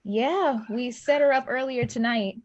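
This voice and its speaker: Casting: woman playing friendly young front-desk worker in a stage drama; unhurried pace, slightly high voice, gentle and hesitant. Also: natural voice. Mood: easygoing. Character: friendly, shy 23-year-old woman working as lobby attendant. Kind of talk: naturalistic acting in an online staged play reading. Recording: dull, lo-fi online recording.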